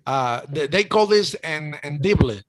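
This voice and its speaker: A man's voice: fairly high pitch, expressive, unhurried. A middle-aged man with a Cuban accent hosting a casual chat, talking emotionally with many restarts. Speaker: host.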